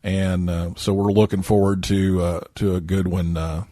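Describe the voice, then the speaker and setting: A man's voice: deep voice, little pitch movement, normal speed, dark tone, no echo, clean studio sound. A man hosting a talk show, joking and stringing thoughts together with many fillers. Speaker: show host. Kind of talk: casual interview.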